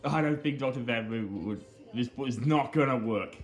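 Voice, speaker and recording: A man giving rambling, casual commentary on video game cutscenes, speaking haltingly with repeated phrases and earnestly explaining small details. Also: medium pitch. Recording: game music behind the voice.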